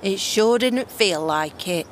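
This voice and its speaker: A woman's voice: mid-range voice, animated, slow. Woman acting sarcastic runaway princess type, blunt and bitter, fantasy audio play, bit of background noise. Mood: defiant. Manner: sarcastic, blunt, slangy complaining. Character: bitter, defiant runaway daughter of a monarch.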